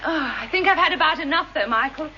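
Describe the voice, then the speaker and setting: An actress in a vintage radio drama playing a light-hearted young wife with a breezy manner. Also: female voice, high-pitched, lively intonation, fast talker, sharp tone, heavily acted. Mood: playful, tired. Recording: muffled vintage radio recording, faint hiss.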